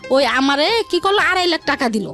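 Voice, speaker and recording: A woman's voice: high-pitched, animated, quick pace, a bit bright. A woman with a colloquial Bangladeshi Bengali accent telling her personal story as a radio interview guest, in a rambling, repetitive way. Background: music.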